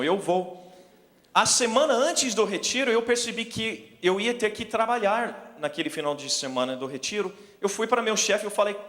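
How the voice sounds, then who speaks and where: Male preacher, forties, American accent, English native. Notably high voice, halting storyteller delivery, long hall reverb. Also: a bit bright. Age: 40s. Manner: self-deprecating, halting search for words. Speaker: preacher, missions speaker. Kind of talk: sermon with personal storytelling. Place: large indoor hall, speaking to an audience.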